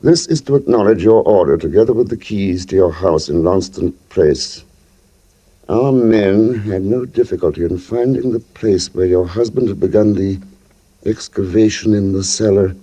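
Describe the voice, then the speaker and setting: An actor playing a middle-aged professor, urbane and measured, sly beneath a genial surface, in 1940s radio drama style. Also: male voice, low-pitched, dark tone, breathy edge, low-quality recording. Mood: controlled unease. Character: sly, outwardly genial professor hiding a wife's murder. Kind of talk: 1940s radio drama acting with first-person narration. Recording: vintage radio recording, faint music.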